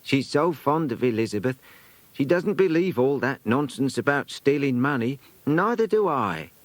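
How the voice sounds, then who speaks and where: A man's voice: mid-range voice, sing-song, moderate pace, no echo, voice pushed up, lightly acted. A British man voicing a loyal, earnest woman companion in an audiobook, emphatic and confiding in manner. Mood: kindly, firm.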